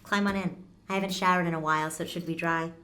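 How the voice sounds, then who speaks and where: An actress playing a casual, guarded tenant in a naturalistic scripted podcast drama, speaking tersely and matter-of-factly. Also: female voice, on the low side, fairly even intonation, quick pace, bright, forceful tone, clean tone. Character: casual, guarded woman tenant devoted to a mysterious hole.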